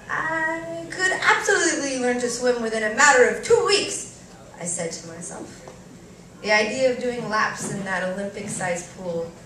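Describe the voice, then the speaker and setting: A woman reading a first-person story aloud at a live event, expressively and theatrically, with deliberate pauses and varied emphasis. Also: mid-range voice. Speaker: reader performing a short story at a live event. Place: live reading in a cafe venue. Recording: echoey room, distant mic, faint noise.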